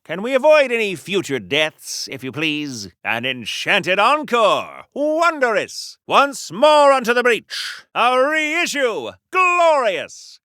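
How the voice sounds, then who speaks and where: A man's voice: very high-pitched, bright, forceful tone, smooth, no room sound, studio quality, exaggerated voice. An actor playing a pompous, boastful masked superhero swordsman in a video game, in the theatrical, flowery manner of a 1940s radio serial hero. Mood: rousing, delighted. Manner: grandiloquent, melodramatic, arch, with flowery archaic phrasing.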